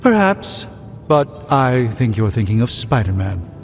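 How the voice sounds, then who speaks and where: An actor playing a learned, dry-witted sorcerer superhero in a video game, speaking in a measured, articulate way. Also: male voice, mid-range voice, big pitch swings. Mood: dry, wry. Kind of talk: video game dialogue acting. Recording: muffled thin band, reverberant, music behind.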